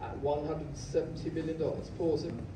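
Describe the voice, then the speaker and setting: A man working as an auctioneer, calling bids in a steady, rhythmic way in a large packed auction hall, recorded from the audience. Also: a little high, noisy background. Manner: rhythmic, measured bid-calling. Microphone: podium mic, filmed by phone from the audience. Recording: distant phone recording in echoey hall. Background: room ambience.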